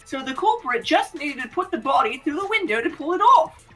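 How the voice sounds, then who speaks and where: A woman's voice: high-pitched, very animated, a little fast, fairly bright tone, soft background music. A woman voicing an eager teenage student in an anime game fan dub.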